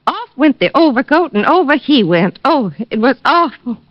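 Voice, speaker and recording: A woman's voice: sits high, very animated, normal speed. A woman playing a trembling, dramatic homemaker giving witness testimony in 1940s radio style. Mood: shaken. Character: trembling, gossipy homemaker, butcher's wife. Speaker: suicide witness. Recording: dull old radio recording.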